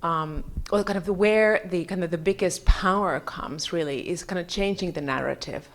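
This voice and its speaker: A woman's voice: lowish voice, normal speed. A woman from Finland speaking as a government advisor, giving an informal, hedging reflection at a conference.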